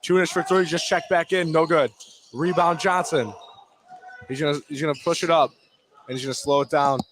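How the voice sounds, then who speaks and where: A man's voice: a little high, fairly even intonation, brisk, a bit bright. Man calling basketball over background noise. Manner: clipped running phrases, following each play. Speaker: play-by-play commentator. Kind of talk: live high school basketball radio commentary.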